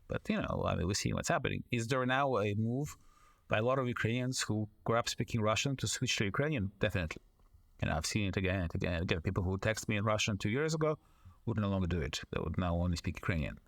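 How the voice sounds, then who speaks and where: A man, a foreign correspondent and author, giving long, measured answers as a podcast guest, often pausing on "you know" and restarting his sentences. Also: on the low side, fairly bright tone. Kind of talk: long thoughtful interview answers.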